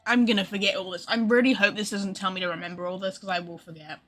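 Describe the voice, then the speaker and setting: Bright-voiced woman streaming a game. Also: medium pitch, steady tone, quick pace, faint music behind. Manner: informal, reacting aloud. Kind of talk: casual livestream commentary.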